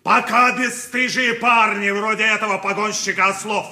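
Indignant orator, high piercing voice, declamatory, pompous, echoing, 1980s radio drama. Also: male voice, steady tone, moderate pace, pushed and bright. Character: pompous, indignant civic orator railing against backwardness.

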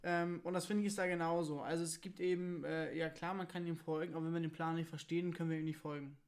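A woman's voice: sits low, steady tone, quick pace, a little mellow. A woman talking casually and frankly as co-host in a question card game. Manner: informal, colloquial, opinionated. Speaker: co-host of a faith podcast.

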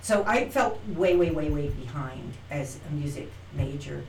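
A middle-aged woman, an actress and acting teacher, telling her story as an interview guest, animated and acting out quoted lines with frequent restarts. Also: low voice, a little slow. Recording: roomy, distant from mic, faint noise.

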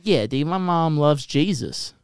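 A man's voice: a little high, animated, a little slow, smooth, no echo, studio quality. Crude, joking young man host. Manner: crude, joking, laid-back. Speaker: podcast host. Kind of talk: casual podcast chat.

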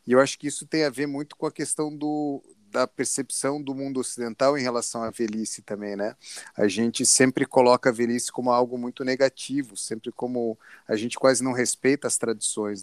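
A man's voice: mid-range voice, no echo. Brazilian man thinking aloud, dry close sound. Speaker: panelist. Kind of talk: reflective discussion.

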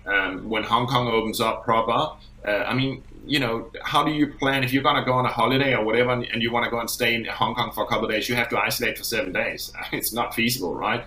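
A man's voice: mid-range voice, steady tone, quick pace, hardly any pauses, bright, forceful tone. A man from Denmark talking as an industry guest in a conversational interview, often repeating words as he thinks aloud. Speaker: hospitality industry expert guest. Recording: roomy, echoey sound.

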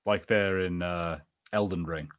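A man's voice: low-pitched, fairly even intonation, measured pace, fairly bright tone. A man co-hosting a podcast, speaking in casual, conversational fan chat. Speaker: podcast co-host.

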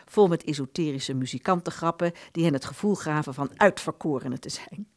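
A woman narrating a literary radio play from a script in an expressive storytelling manner. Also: fairly low pitch, dry recording, clean studio sound.

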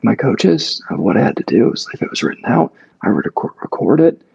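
A man's voice: mid-range voice, vocal fry, a little mellow, husky, muffled recording. A male actor speaking as an interview guest, telling a long story casually with many fillers and restarts.

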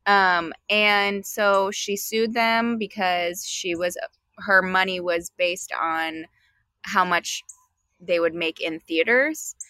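Young adult female co-host recording outdoors, relaxed and chatty, bright tone, dry close sound. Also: fairly low pitch, slow pace, dry recording. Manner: chatty, with many fillers, relaxed. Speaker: podcast co-host. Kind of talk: casual chat.

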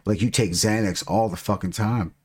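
A man's voice: on the low side, fairly even intonation, moderate pace, a little mellow, slightly rough. A middle-aged man who stutters and has a lisp, talking casually and with plenty of swearing as a podcast co-host. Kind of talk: casual chat.

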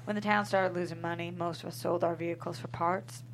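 A woman's voice: lowish voice, fairly even intonation, a little fast, slight background noise, close to an everyday voice, rough recording. Woman playing a blunt, tough desert cowgirl. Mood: guarded. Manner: blunt, folksy. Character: tough, guarded small-town desert cowgirl. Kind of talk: table read acting.

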